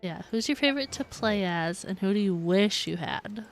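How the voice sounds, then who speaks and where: A woman's voice: medium pitch, animated, normal speed, soft background music. A woman streaming a video game, chatting casually and giggly with her viewers. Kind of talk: casual livestream chat with viewers.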